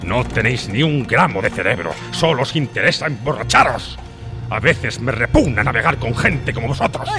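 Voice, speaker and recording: An actor playing a cunning, commanding pirate sea cook in a Spanish radio drama, scornful and domineering. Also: male voice, mid-range voice, very animated, normal speed. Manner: scornful, domineering, coaxing with the boy. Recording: radio drama with loud music behind.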